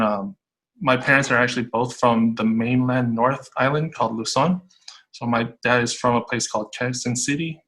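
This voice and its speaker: Bright-voiced man with a flat delivery. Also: medium pitch, rough recording. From United States. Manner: friendly, relaxed, with fillers and restarts. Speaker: school tech support guest. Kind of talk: casual interview answers.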